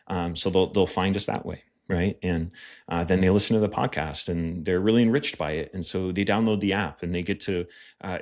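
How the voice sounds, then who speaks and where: Man, very low voice, earnest, reflective, lots of fillers, podcast guest. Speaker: guest, pastor and media producer. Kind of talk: casual podcast conversation.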